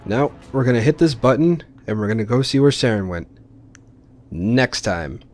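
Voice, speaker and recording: A man's voice: mid-range voice, lively intonation, moderate pace, darkish tone. A man giving casual, informal let's play commentary, explaining his choices as he plays. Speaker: gaming video host.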